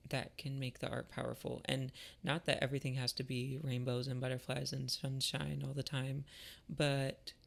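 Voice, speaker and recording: A man talking in a casual, reflective monologue, thoughtful and halting, with a creaky voice. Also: medium pitch. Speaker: artist and musician vlogger.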